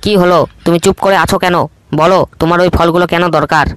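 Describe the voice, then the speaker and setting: A man voicing a cheerful, helpful young male monkey in a cartoon, talking in a quick, high, friendly way. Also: flat delivery, fairly bright tone, clean tone, no echo. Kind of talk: cartoon voice acting.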